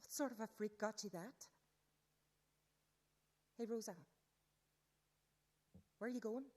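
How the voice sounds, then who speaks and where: Sneering, snobbish teenage girl, high-voiced. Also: a little slow, darkish tone. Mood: scornful mocking. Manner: sneering, gushing, theatrical. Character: snobbish, bossy teenage mean girl, scornful schoolgirl. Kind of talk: radio play acting.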